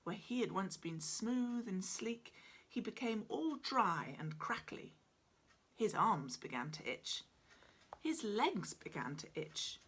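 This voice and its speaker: A woman in her forties narrating her children's picture book in a dramatic, deliberate, expressive style, indoors at home. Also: mid-range voice, slightly rough. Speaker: author reading own picture book. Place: small cluttered room at home, indoors.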